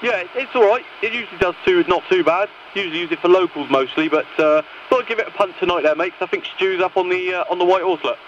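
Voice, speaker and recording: A man has a casual, matey chat on CB radio. His slightly high voice sounds distant and dull, with clear noise on the signal. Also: fast, few pauses. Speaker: CB radio operator. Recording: distant, muffled, noisy CB radio from vehicle.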